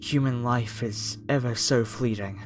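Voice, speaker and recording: A man playing a fanatical masked cultist captor in a horror ASMR roleplay, speaking with reverent, preacherly menace and deliberate pauses. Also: mid-range voice, steady tone, soft background music, natural voice. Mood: ominously soothing.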